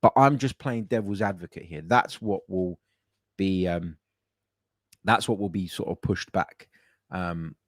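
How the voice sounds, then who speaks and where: Male podcast host with a low voice and a dry home-office sound, casual and chatty. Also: unhurried, pauses often, no room sound. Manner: conversational, chatty, with frequent fillers and restarts. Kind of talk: casual call-in podcast chat.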